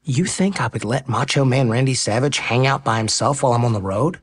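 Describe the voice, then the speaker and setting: A man's voice: medium pitch, a little fast, breathy edge, natural voice. An actor playing a cocky, teasing male pro baseball player in a romantic comedy audio drama. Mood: teasing, unbothered.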